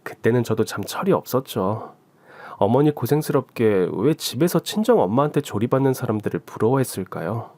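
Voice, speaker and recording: A man narrating a story in the first person with a gentle reading manner. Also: medium pitch, lively intonation, a little fast, no echo.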